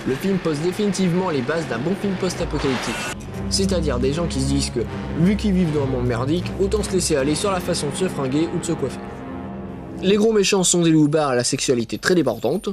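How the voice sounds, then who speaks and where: Man, film review host, higher voice, casual joking asides, loud music behind. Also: expressive, fast talker. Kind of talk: scripted comic review narration.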